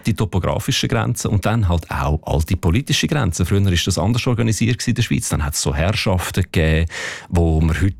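Deep-voiced Zurich dialect expert. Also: male voice, gravelly, no room sound, studio quality. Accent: Zurich Swiss German. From Switzerland. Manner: explanatory, thoughtful, with fillers. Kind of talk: radio interview. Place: radio studio.

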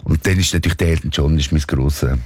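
A male musician with a Swiss German accent, speaking haltingly and searching for words as an interview guest. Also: very deep voice, breathy edge, no echo. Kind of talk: casual interview conversation.

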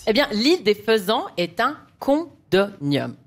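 A woman's voice: medium pitch, sing-song, a little slow. A woman panelist giving a comic bluffing answer on a quiz show, playful and teasing. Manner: playful, jokey, teasing asides.

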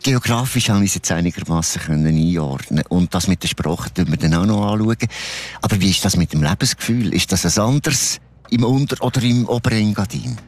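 A man with a Swiss German accent hosting a casual interview, asking questions in a relaxed, self-deprecating way. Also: lowish voice, brisk. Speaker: radio host.

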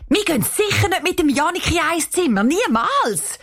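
A woman voicing an outspoken, indignant young girl in a children's audio story, exclaiming loudly and defiantly. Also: extremely high pitch, very animated, normal speed, pitched above the performer's own voice, exaggerated voice. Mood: appalled.

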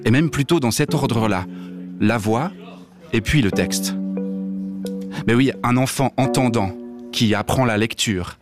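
A man's voice: mid-range voice, unhurried, long pauses, lightly acted. A man playing a deaf man who dislikes reading novels, speaking a blunt scripted radio monologue over music. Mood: earnest. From Switzerland. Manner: blunt, mildly provocative, direct address. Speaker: radio columnist.

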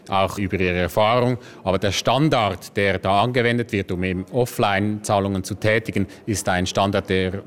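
A man giving formal explanations as a government agency representative in an interview. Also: on the low side.

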